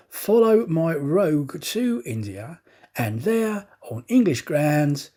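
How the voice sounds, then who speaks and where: A man voicing a suspicious, self-assured detective in a storybook, probing and deliberate. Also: a little high, dark tone, voice pushed up.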